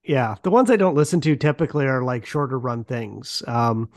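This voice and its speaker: A man hosting a podcast in casual, informal conversation, expressive and loose in his phrasing. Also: mid-range voice, moderate pace, no room sound, studio quality. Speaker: podcast host.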